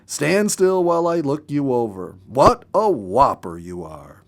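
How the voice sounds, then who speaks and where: Man reading as male nature lover, storybook dialogue, affectionate, mock-scolding, teasing, expressive. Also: a little high, measured pace, creaky, full character voice. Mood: fond teasing.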